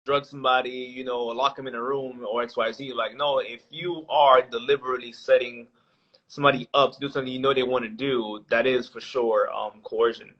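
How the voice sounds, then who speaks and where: Guest, male, late 20s, rambling casual talker, mid-pitched voice, dull lo-fi audio. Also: steady tone, brisk. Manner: rambling, many fillers and restarts. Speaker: podcast guest. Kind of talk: casual podcast conversation. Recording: dull lo-fi sound.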